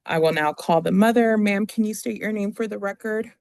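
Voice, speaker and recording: An attorney speaking formally in a courtroom, reading out requests and questioning a witness in a halting, procedural way. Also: medium pitch, gravelly, a little mellow, clear, resonant tone.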